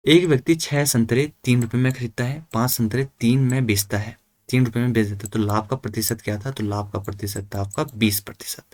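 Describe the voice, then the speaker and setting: A man's voice: fairly low pitch, no echo. A man working through exam questions and answers informally, as a coaching teacher explaining to students.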